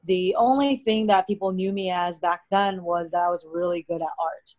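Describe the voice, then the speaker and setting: A young American woman whose first language is Mandarin, telling stories in a relaxed, chatty way as a podcast guest. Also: on the low side, normal speed, no echo. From United States. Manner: relaxed, chatty storytelling with frequent "like". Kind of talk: casual interview conversation.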